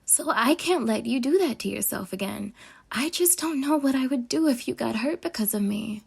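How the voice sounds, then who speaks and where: Woman acting a husky, sweetly possessive yandere girlfriend. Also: fairly high pitch, lively intonation, normal speed, full character voice. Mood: hurt, pleading. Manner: coaxing, saccharine, dramatic, with breathy half-whispered asides. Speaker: ASMR voice actor. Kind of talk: intimate roleplay audio acting.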